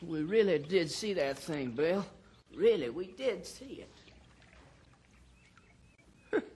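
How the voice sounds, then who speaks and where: Shaken man pleading to be believed: rather high, slow, halting radio-drama delivery. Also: animated, darkish tone, husky, heavily acted. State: shaky, frightened. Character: shaken, frightened male boater pleading belief. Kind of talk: old-time radio drama acting.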